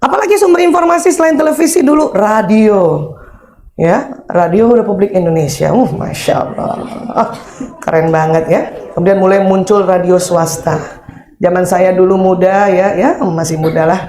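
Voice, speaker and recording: A woman in her fifties speaks at a seminar in a chatty, humorous way. Her voice sits at an ordinary middle pitch. She keeps turning to the listeners with quick questions. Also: dark tone. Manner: humorous, chatty, quizzes the audience. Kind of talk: lively seminar talk. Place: seminar hall before an audience.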